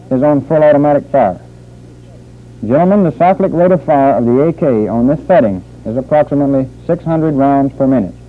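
Male army instructor for vintage training film, higher-pitched voice, formal and deliberate, thin phone-like sound. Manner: formal, measured, deliberate phrasing. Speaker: military weapons instructor.

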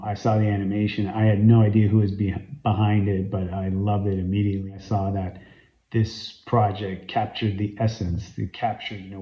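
Canadian guy, 40s, low voice, eager rambling into his phone, echoey room, kinda dull audio. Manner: earnest and enthusiastic, with frequent ums and uhs. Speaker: fan site founder and interviewee. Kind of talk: casual interview talk to camera. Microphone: phone camera at arm's length.

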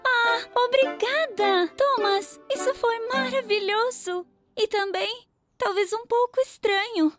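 Super high happy girl engine, brazilian cartoon dub, music behind. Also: slow pace. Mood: grateful, cheerful. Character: friendly, grateful female train engine. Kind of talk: children's cartoon dubbing.

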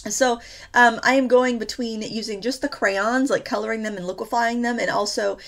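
A woman's voice: fairly high pitch, fairly even intonation, normal speed, vocal fry. A woman hosting a painting tutorial, chatting casually with lots of fillers, a little stuffy from a cold. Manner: chatty, rambling, many fillers. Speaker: art tutorial host.

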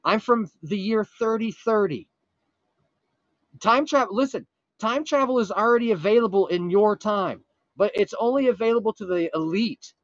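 A man playing a frantic, earnest time traveler from the future on a prank call, urgent, pleading and halting with dramatic pauses. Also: sits high, no echo, dull audio, natural voice. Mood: solemn pleading. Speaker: prank caller. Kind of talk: improvised comic prank phone call.

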